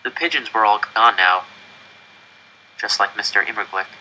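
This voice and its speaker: A man reading a first-person story aloud in an expressive, dramatic storytelling style. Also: lowish voice, moderate pace, slight background noise, poor audio. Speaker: audiobook reader.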